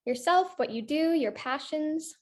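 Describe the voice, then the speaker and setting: Teen girl interviewer, higher voice, polite and tentative. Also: animated, measured pace. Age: teens. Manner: polite, hesitant, many likes and ums. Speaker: interviewer for a youth organization. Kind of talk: interview questions.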